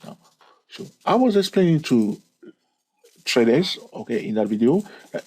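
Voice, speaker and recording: A man's voice: medium pitch, sing-song, normal speed, darkish tone, breathy edge, rough recording. A man hosting his channel, walking through a chart lesson, stretching key words and repeating counts. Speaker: trading educator and channel host. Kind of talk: screen-recorded chart lesson.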